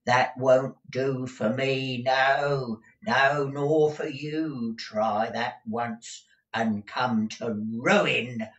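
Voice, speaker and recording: A man voicing a deaf, blunt, cantankerous old housekeeper woman in a storybook reading, with drawn-out querulous rustic speech. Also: mid-range voice, fairly even intonation, fairly bright tone, lo-fi sound, pitched above the performer's own voice. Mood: threatening. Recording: distant, echoey room recording.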